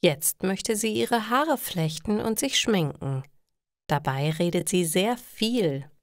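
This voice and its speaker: Langsame, knarrende Frauenstimme, Hochdeutsch. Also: female voice, medium pitch, animated, takes it slowly, dry recording, clean studio sound. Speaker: narrator reading stories for German learners. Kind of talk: slow scripted story narration for language learners.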